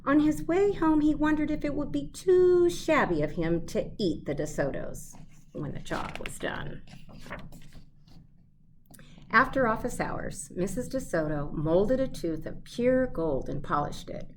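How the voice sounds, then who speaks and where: A woman giving an expressive read-aloud of a children's storybook. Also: fairly high pitch, unhurried, a little hiss or hum.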